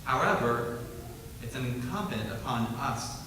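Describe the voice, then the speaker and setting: A man who is a police officer, giving a solemn, measured speech at a memorial ceremony. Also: medium pitch, lively intonation, pushed and bright, a little hiss or hum. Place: large hall at a ceremony. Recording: echoey hall from far off.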